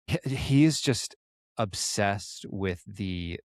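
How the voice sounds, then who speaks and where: A man speaking as a guest on a podcast, talking in a relaxed, rambling way with frequent restarts. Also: lowish voice, takes it slowly, no room sound, studio quality. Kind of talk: casual podcast interview.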